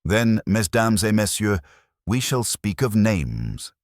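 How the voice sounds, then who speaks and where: A man playing a shrewd, courteous Belgian detective in an audiobook, speaking in formal, measured, theatrical deductions. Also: lowish voice, sing-song, dry recording, studio quality, voice pushed up. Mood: solemn, knowing.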